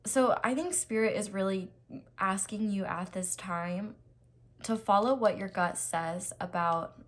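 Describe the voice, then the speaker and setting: A woman with a slightly raspy voice doing a casual, reassuring tarot reading to camera, with frequent ums and restarts. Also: medium pitch, a little slow. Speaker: tarot reader.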